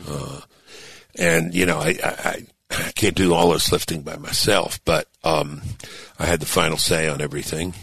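An older man hosting a talk show, speaking thoughtfully with halting restarts that build to emphatic points. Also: extremely low pitch, fairly even intonation, gravelly, a bit bright, slightly rough. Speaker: host and author. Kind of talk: casual radio talk show conversation.

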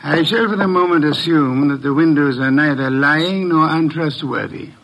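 An actor playing a shrewd, pedantic middle-aged private detective with a gravelly, precise and pompous delivery, in an old-time American radio drama. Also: male voice, a little high, normal speed, a bit bright, muffled recording. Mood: probing, brisk.

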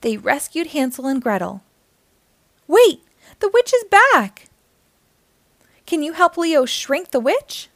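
A woman hosting a children's storytime, reading aloud in an animated, encouraging way and speaking directly to young listeners. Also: high-pitched, slow, pauses often, no room sound, clean studio sound.

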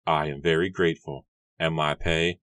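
A man's voice: a bass voice, little pitch movement, moderate pace, a bit bright, dry recording. A man voicing a confident, cheerful young farmhand who speaks politely and eagerly.

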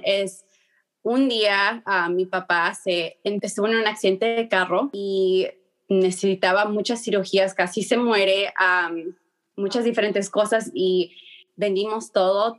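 A young woman, answering interview questions in a halting way many fillers and restarts, slipping words in. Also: mid-range voice, fairly bright tone. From Peru. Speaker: guest, journalist.

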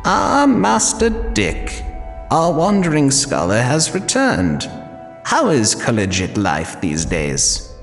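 A man's voice: mid-range voice, wildly swooping pitch, takes it slowly, exaggerated voice. An actor playing a devoted older male butler in an audio drama, formal, courteous and drily witty. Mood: welcoming, wry. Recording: long reverb, faint music behind.

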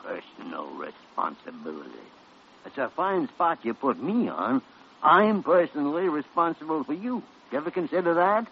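An older man acting a crusty, teasing senior physician in an old-time radio drama, gruff, blustery and drawling. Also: on the high side, sing-song, moderate pace. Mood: grumpy. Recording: muffled, noisy vintage radio recording.

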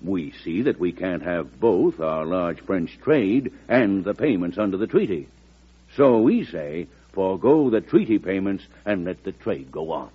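A man's voice: sits low, expressive, a little slow, breathy edge, exaggerated voice. An actor playing a pragmatic, respectful businessman arguing his case in an old radio drama. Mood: reasonable, deferential. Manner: reasoned, respectful, persuasive. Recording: muffled vintage radio recording.

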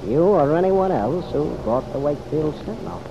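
Through a muffled, noisy old radio recording comes a wry American police detective. His pitch moves freely as he needles and probes in easygoing, slangy radio drama style. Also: expressive, moderate pace, vocal fry. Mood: wry, probing.

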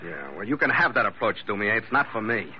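An American man playing a tough, steady sea captain, narrating in clipped, hard-boiled 1940s radio adventure style. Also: lowish voice, quick pace, a little hiss or hum, natural voice. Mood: wry. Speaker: lead actor and narrator of radio series. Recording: muffled vintage radio with loud music.

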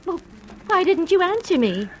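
A woman's voice: very high voice, sing-song, moderate pace, over music, poor audio. An actress playing a prim, fluttery, genteel lady employer in an old-time radio drama. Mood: scolding, fussy.

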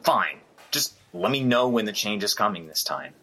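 A man's voice: lowish voice, expressive, normal speed, bright, forceful tone, slight background noise. An actor in an audio drama playing a brash, teasing young male drummer who blusters in a folksy, needling way. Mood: indignant.

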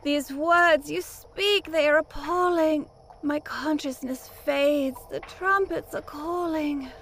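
Woman as a high-voiced, melodramatic fortune teller. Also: fairly even intonation, a bit bright, heavily acted. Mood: pleading, anguished. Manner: melodramatic, formal, slow pleading. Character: suffering female fortune teller speaking in rhyme. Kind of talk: comic fantasy audio drama.

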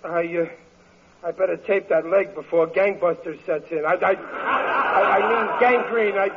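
High-pitched flustered fake doctor. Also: male voice, a little slow. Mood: bluffing. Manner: stammering, blustering, evasive. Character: flustered, bumbling working-class man posing as a doctor. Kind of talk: old-time radio comedy acting. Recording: muffled, noisy vintage radio broadcast.

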